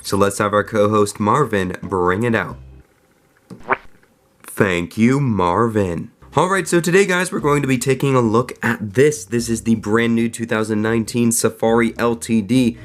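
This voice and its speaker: A young man with a cold, hosting a casual, enthusiastic toy review video full of fillers and quick asides. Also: fairly low pitch, slight background noise. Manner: enthusiastic, chatty, frequent fillers. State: sick, voice affected. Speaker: toy reviewer, channel host.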